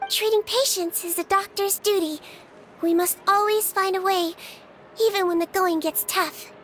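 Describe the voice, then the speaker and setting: A woman voicing a gentle nonhuman little doctor girl in a video game, softly and sincerely telling her story like a storybook. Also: very high voice, steady tone, moderate pace. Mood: quiet sincerity. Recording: background music under the voice.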